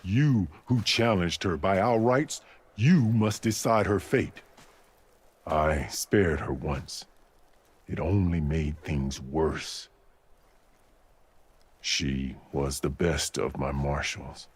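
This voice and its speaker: Very deep, solemn warrior chief. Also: male voice, slightly rough, heavily acted. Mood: stern. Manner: grave, formal, measured. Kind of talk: dramatic video game acting.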